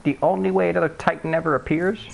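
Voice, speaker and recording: A man with a high-pitched voice, streaming live and chatting over voice chat in a profane, emphatic way, often restarting his sentences. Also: fairly even intonation, fast talker, gravelly, slight background noise, poor audio. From Canada. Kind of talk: rambling voice chat.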